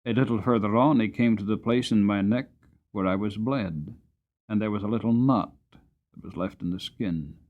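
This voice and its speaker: A man giving a dramatic storybook narration as an audiobook reader, expressive and deliberate. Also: on the low side, phone-quality sound.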